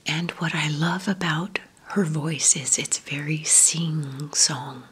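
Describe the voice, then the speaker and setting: A woman whispering gently through an ASMR question-and-answer video, talking fondly and slowly with soft fillers. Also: low voice, steady tone, slightly rough. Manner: slow, gentle, affectionate rambling with fillers. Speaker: ASMR creator.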